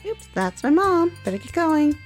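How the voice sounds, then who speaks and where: An energetic young girl from a visual novel, acted out by a woman, pitched high and chirpy. She is bubbly and a bit bossy, eager and decisive in how she talks. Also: normal speed, faint music behind. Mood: bright, bossy.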